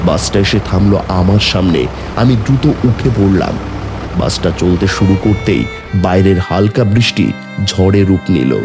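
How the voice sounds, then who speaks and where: A man narrating a scripted horror story in the first person, in a dramatic, suspenseful manner. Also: fairly low pitch.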